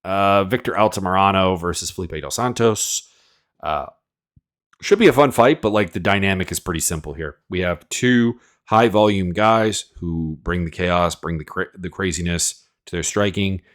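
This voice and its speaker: A man's voice: sits low, normal speed, fairly bright tone. A man with a cold, sniffling as he rambles through casual, opinionated fight predictions full of fillers. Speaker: MMA analyst host.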